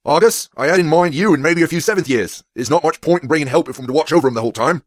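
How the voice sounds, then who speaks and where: A man voicing a huge half-giant groundskeeper in an audiobook, loud and gruff, with a plain-spoken rustic manner. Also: on the high side, racing, no room sound, studio quality, lightly acted. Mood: gruff, disapproving. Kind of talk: audiobook character acting.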